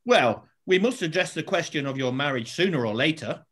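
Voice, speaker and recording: A man's voice: fairly high pitch, animated, a little fast, fairly bright tone. A man playing an imperious but fond father and emperor in an amateur pantomime read-through, declaiming in a stately way. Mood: fatherly. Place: indoors at home, on a video call.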